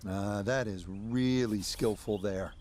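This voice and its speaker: A man giving calm, measured play-by-play commentary on golf in a video game. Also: lowish voice. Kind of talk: sports commentary.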